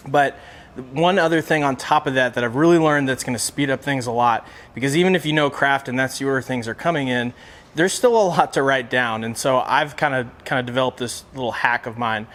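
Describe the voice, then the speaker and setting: A man's voice: mid-range voice, a bit bright. A man giving a casual instructional explanation in a plain-spoken, conversational way, as a presenter teaching practical tips.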